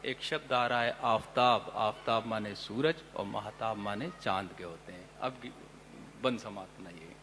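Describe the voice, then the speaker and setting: A man, a poet on stage, sings his verses in a melodic recital at a poetry gathering, with quick spoken remarks to the audience between the verses. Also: lowish voice, a bit bright, a little hiss or hum. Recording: long hall reverb with faint music.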